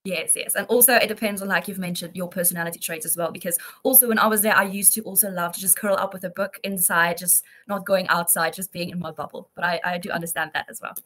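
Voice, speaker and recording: A woman's voice: on the low side, fairly even intonation, quick pace, few pauses, fairly bright tone. A woman in her twenties teaching a one-to-one online English lesson in an encouraging, affirming manner. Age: 20s.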